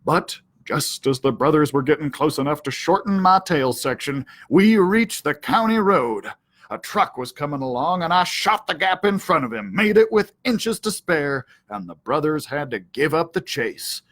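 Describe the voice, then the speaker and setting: A man's voice: a little high, animated, normal speed, a bit bright. A man voicing a boastful, folksy ranch dog who narrates his own storybook adventures in a self-important, theatrical way. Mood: proud. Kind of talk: first-person storybook narration.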